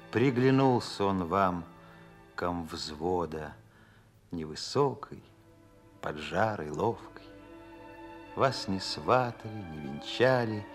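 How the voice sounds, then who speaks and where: An actor playing a solemn narrator who recites poetry in a radio drama, measured and expressive. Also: male voice, sits low. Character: solemn male narrator reciting wartime verse. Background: music.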